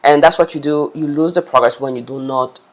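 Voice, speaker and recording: A woman's voice: low-pitched, steady tone, fairly quick. Chatty woman vlogger. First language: French. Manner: chatty and earnest, loose non-native phrasing. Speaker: language-learning vlogger. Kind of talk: casual advice talk to camera.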